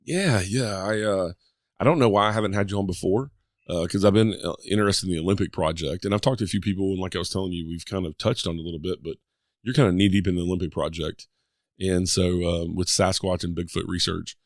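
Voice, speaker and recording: A man's voice: low voice, steady tone, fast talker, dry recording, clean studio sound. A man hosting a podcast, easygoing and hesitant, with plenty of fillers. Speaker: podcast host. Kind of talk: casual interview chat.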